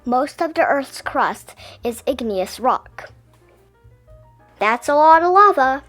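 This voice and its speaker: A woman reads a picture book aloud for children. Her voice is high and highly melodic, explaining things expressively, with soft music behind her. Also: takes it slowly. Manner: expressive, explanatory storytelling delivery. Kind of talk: children's science book read-aloud, scripted narration.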